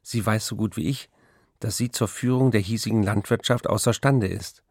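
Weary bitter writer guy, radio play, reading letters, lowish voice, very dry sound. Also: moderate pace, a little mellow, breathy edge, no room sound. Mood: matter-of-fact, aggrieved. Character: embittered, weary male writer in a failing marriage.

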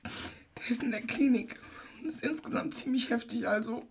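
An actress in a naturalistic radio play, playing an overwrought, remorseful village woman with a husky, breathy voice. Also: fairly high pitch, normal speed, a little hiss or hum, lightly acted. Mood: subdued.

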